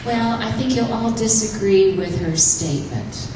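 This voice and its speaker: A woman's voice: mid-range voice, a little slow. A woman hosting an event, giving a formal introduction of a speaker to the room. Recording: echoey room, from a distance, noisy.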